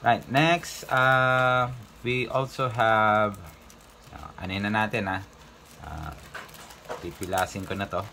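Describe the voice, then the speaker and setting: A Filipino man hosting a casual unboxing vlog, mixing Tagalog and English in a halting, hesitant way. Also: fairly low pitch, creaky, bright, forceful tone, slight background noise. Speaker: motovlogger host.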